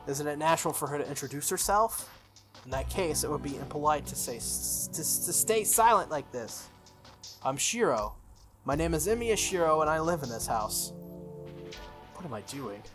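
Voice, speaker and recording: A gaming YouTuber reading a visual novel aloud and breaking off for casual, jokey commentary. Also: mid-range voice. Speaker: gaming YouTuber, let's player. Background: game music.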